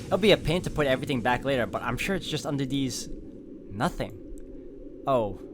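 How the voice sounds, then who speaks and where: A man's voice: mid-range voice, lively intonation, brisk, noisy background. A man hosting a gameplay video, reading visual novel narration aloud as a story.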